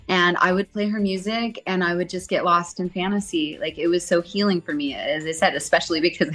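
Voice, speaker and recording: A woman in her forties, a singer being interviewed, telling her story in a chatty, animated way. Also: on the low side. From Southern California. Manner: chatty, animated, acts out quotes.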